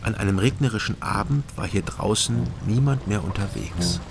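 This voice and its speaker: A man's voice: fairly low pitch, moderate pace, heavy background noise, lightly acted. An actor playing a laconic ex-policeman turned private detective, narrating a radio drama in a dry, matter-of-fact way.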